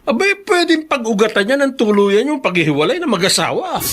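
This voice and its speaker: High-pitched, protective Filipino father. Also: sing-song, fairly quick, over music. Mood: protective, defiant. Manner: hesitant interjections, folksy, stubbornly protective. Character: protective, indulgent older father backing his daughter. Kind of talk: Filipino radio drama acting.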